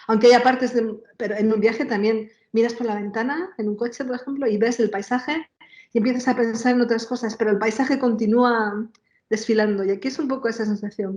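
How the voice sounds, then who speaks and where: A woman talks conversationally as an interview guest, her voice a little high. She feels her way through ideas, rewording as she goes. The room rings noticeably around her and the sound is somewhat muffled. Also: darkish tone. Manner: searching for words, reformulating. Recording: roomy, dull lo-fi sound.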